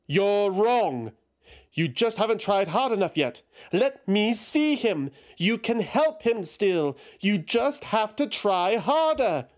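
A man's voice: sits high, sing-song, slow pace, voice pushed up, full character voice. A man voicing a distraught young woman who pleads and refuses to accept a death, in an insistent, pleading manner. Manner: pleading, insistent, rising to shouts.

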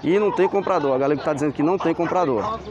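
A man's voice: fairly high pitch, racing, lo-fi sound. A man, touring an outdoor street market on camera and exclaiming over the stalls as he walks. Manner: enthusiastic, exclaims "que maravilha", points things out. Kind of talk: walking market tour vlog. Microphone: handheld camera mic, filming while walking. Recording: crowd noise behind.

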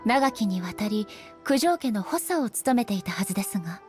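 A woman voicing a dignified, solemn ruler deity in a video game, speaking in a composed, formal, measured manner. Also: mid-range voice, lively intonation, darkish tone, faint music behind, close to an everyday voice. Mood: calm, formal. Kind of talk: video game character acting.

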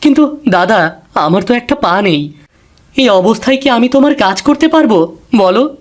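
A man's voice: very high voice, expressive, moderate pace, pitched above the performer's own voice. A man voicing a humble, dutiful young man in a cartoon, plaintive and earnest. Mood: humble, worried.

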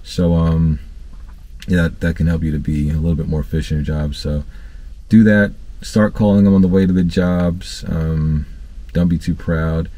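A man in his thirties talks through work tips from the cab of his parked van. His voice sits very low and mellow, and he keeps it loose and casual, stopping for ums and restarting his sentences as he goes. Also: moderate pace, no room sound. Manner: relaxed, conversational, many fillers and restarts. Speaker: HVAC technician and channel host. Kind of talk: casual training talk to camera.

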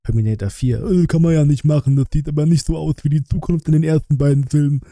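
A man's voice: fairly high pitch, fairly even intonation, fast talker, warm tone, no echo. A man in his thirties giving casual reaction commentary as a streamer, in blunt, slangy, colloquial speech on a close microphone. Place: small indoor streaming room. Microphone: close desk microphone.

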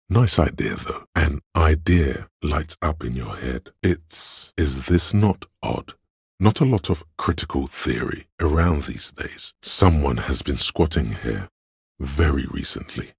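An extremely husky, gravel-edged male voice of pure logic, recorded close and dry. He narrates a video game as a cold, analytical inner thought. His delivery is deliberate and didactic, addressing the listener directly in short, clipped deductions. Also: rumbling low, a little mellow, dry recording, heavily acted. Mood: reasoning. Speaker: voice actor. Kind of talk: dramatic second-person game narration.

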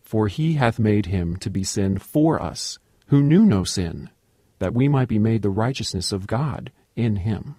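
An actor playing a patient, earnest evangelist in a radio drama, measured and solemn. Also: male voice, fairly low pitch, expressive, warm tone, no room sound, studio quality. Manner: measured, solemn when quoting. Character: patient, earnest male evangelist quoting scripture.

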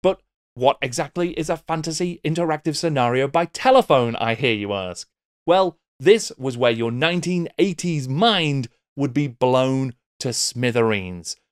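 British man, middle-aged, scripted narration, theatrical emphasis, wry, medium pitch, close desk microphone. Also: animated, unhurried, clear, resonant tone, dry recording. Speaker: YouTube host. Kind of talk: scripted video essay narration. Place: small book-lined home room. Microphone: close desk microphone with pop filter.

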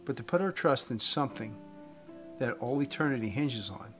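A man in his fifties giving an earnest evangelistic talk to camera as a host, phrasing deliberately with emphasis. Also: medium pitch, expressive. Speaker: host giving a faith message. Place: indoors, room with wooden beams. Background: guitar music.

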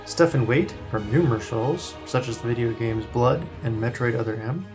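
A man's voice: mid-range voice, moderate pace. A man presenting his own project in scripted promotional narration. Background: soft music.

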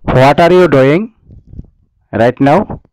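Young bangladeshi guy chatting on a video call, really slow n halting, repeats little phrases, earphone mic. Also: a little high, darkish tone, dry recording, low-quality recording. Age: 20s. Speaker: online English conversation partner. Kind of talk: casual English practice chat on a video call. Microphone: earphone wire mic held at the lips.

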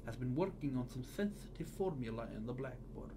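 A Cold War Soviet captain, acted by a man, questions in a formal, measured way with quiet menace. His pitch is average for a man, and clear background noise sits behind this radio-play voice. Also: lively intonation, natural voice. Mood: coolly polite. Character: calm, menacing Soviet secret police captain, late thirties. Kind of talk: audio drama acting.

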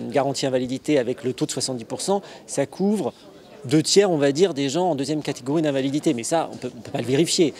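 A man in his thirties answering a reporter's questions in a hall, talking fast with restarts, into a handheld mic. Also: medium pitch, darkish tone. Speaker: association representative. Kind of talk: interview answer. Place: indoor meeting hall.

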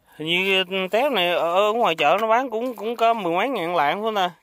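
A man's voice: high-pitched, fairly quick, a bit bright, no room sound. A man with a southern Vietnamese accent chatting casually from behind a handheld phone outdoors, often repeating short phrases. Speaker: vlogger filming shrimp and snail catching. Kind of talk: casual chat while filming. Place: outdoors on a muddy pond bank. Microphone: handheld phone, speaking from behind the camera.

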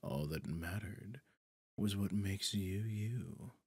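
A man playing a smug, possessive devil in a roleplay audio drama, suave and pompous, with a breathy, husky delivery that often drops toward a whisper. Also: low voice, darkish tone, dry recording, clean studio sound, exaggerated voice. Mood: condescending. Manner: theatrical, silky, pompous, drawn-out phrasing. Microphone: close, dry studio microphone.

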